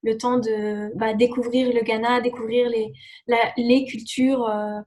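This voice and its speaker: A woman's voice: on the high side, flat delivery, measured pace, dark tone. A young woman whose first language is English, answering interview questions casually with many fillers and restarts. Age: young adult. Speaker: exchange student guest.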